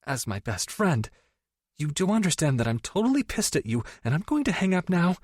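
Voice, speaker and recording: A guarded young woman trying hard to stay composed, speaking in a tight, measured way, as a male narrator puts her on. Her voice sits lifted above a man's but stays controlled. Dry, close audiobook recording. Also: fairly low pitch, big pitch swings, a little mellow, dry recording, pitched above the performer's own voice. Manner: tight, composed, a little exasperated. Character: guarded, reserved young woman, easily embarrassed. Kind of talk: audiobook character voice.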